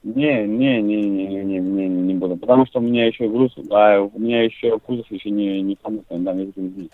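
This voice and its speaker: A man's voice: on the low side, steady tone, moderate pace, dry recording. A man talking casually on a lo-fi phone call, blunt and repeating himself. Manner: repetitive, blunt. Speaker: truck driver receiving a prank call. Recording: dull lo-fi phone line.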